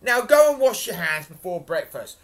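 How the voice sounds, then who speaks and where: A man puts on a huge, friendly, bossy storybook giantess. The voice is raised in pitch, loud and emphatic. It swoops up and down in a motherly way. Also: very animated, normal speed, gravelly, heavily acted. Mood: scolding.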